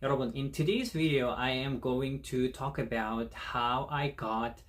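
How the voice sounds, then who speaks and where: A man hosting his own language-learning channel, who is not a native speaker of Korean, talking slowly and casually to the camera, with many pauses and fillers. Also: mid-range voice, a bit bright. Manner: slow, thoughtful, many fillers and restarts. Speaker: channel host.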